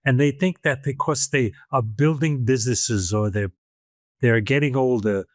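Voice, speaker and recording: Older man hosting, rambling and warm-hearted. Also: mid-range voice, normal speed, no room sound, clean studio sound. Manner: rambling, storytelling, many restarts. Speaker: podcast host. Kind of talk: casual interview chat.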